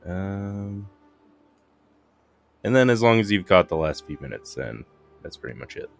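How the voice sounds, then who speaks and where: A man's voice: low-pitched, big pitch swings, measured pace, vocal fry, faint music behind. A man giving casual gameplay commentary as a streamer, muttering and thinking aloud. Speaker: game streamer.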